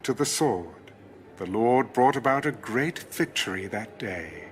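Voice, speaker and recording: A man narrating scripture as formal, measured, dramatic storytelling. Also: mid-range voice, lively intonation, slight background noise. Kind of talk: dramatic audio Bible narration. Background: music behind the voice.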